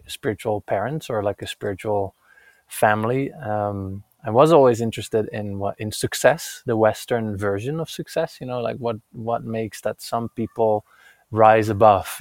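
A man's voice: on the low side, darkish tone, no echo. A man from the Netherlands, a podcast guest, speaking thoughtfully with some hesitation. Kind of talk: podcast interview.

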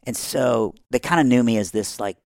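A man with a Southern accent, originally from Iran, telling his life story on a podcast as a guest pastor in a lively way, acting out the voices of others and laughing often. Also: mid-range voice, moderate pace, gravelly, dry recording, clean studio sound.

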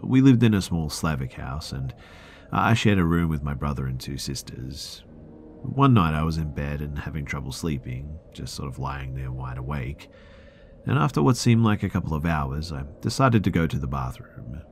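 A man reading a first-person horror story aloud in a hushed, drawn-out dramatic delivery, dropping into a whisper at times. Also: a bass voice, big pitch swings, slight background noise. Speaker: narrator. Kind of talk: scripted horror story narration.

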